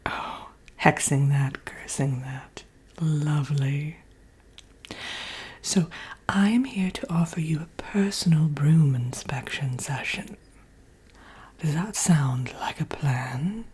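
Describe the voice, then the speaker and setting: Woman whispering as a slow, sly wicked witch. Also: deep voice, a little mellow, exaggerated voice. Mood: smug, conspiratorial. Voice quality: breathy whisper. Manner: theatrical, coyly boastful, doting, slow and murmuring. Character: vain, sly Wicked Witch, chatty old friend of the listener. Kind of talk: whispered ASMR roleplay.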